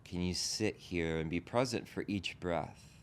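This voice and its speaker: Low male voice, meditation teacher, gentle spaced phrases, guided practice, faint rumbling background noise. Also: unhurried. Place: group meditation session in a room.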